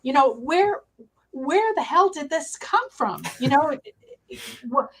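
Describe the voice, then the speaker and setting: A woman speaking as a guest researcher, giving conversational interview answers in a thoughtful, halting way. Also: high voice, sing-song.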